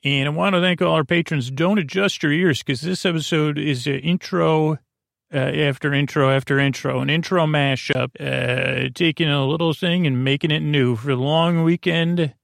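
A man hosting a bedtime podcast, talking in slow meandering tangents full of fillers and restarts, with a creaky voice. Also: fairly high pitch, steady tone, no room sound, clean studio sound. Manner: meandering, filler-heavy, self-interrupting, soft. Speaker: podcast host. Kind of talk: rambling bedtime-story podcast talk.

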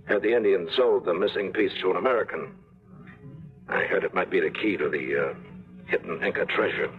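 An actor playing a scheming, aging and tired treasure hunter in a 1950s radio drama, talking in a wheedling, sly drawl. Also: male voice, low voice, a little fast, soft background music. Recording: lo-fi old radio broadcast.

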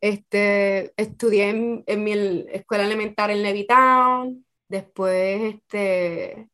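A woman's voice: mid-range voice, steady tone, takes it slowly, a bit bright, smooth. A woman with a Puerto Rican accent, a podcast guest casually telling personal stories. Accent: Puerto Rican Spanish. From Puerto Rico. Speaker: podcast guest, army officer.

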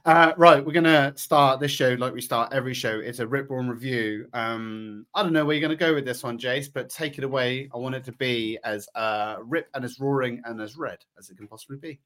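A man's voice: medium pitch, a little fast, hardly any pauses, fairly bright tone. A man hosting a football podcast while sounding bunged up, upbeat and jokey. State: stuffy, bunged up. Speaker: podcast host. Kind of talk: casual football chat.

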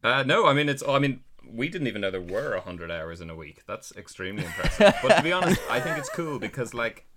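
A man's voice: medium pitch, big pitch swings, fast, hardly any pauses, crowd noise. A man hosting a podcast, chatting in a playful, teasing way. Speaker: podcast host. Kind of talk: podcast chat.

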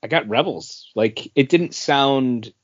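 A man's voice: medium pitch, takes it slowly, lo-fi sound. A man co-hosting a casual, informal geek-culture chat. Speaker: podcast co-host.

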